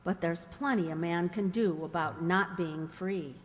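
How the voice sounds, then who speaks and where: A woman's voice: fairly low pitch, unhurried, natural voice. A woman playing a bookish, principled young frontier woman, an earnest and instructive elder sister in a radio play. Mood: firm, earnest. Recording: reverberant hall-like room.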